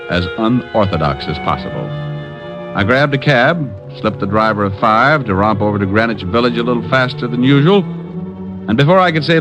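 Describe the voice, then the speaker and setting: A man's voice: mid-range voice, lightly acted. A man playing a wry, wisecracking private detective with an American accent, in 1950s radio drama style. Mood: wry narration. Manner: dry, wisecracking.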